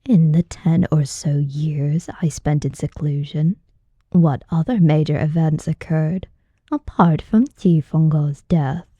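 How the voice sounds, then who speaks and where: A woman reading an audiobook, voicing an aloof, powerful male martial arts master in a cool, imperious, husky manner. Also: low-pitched, takes it slowly, mellow, no room sound, muffled recording, pitched below the performer's own voice, full character voice. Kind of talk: audiobook character dialogue.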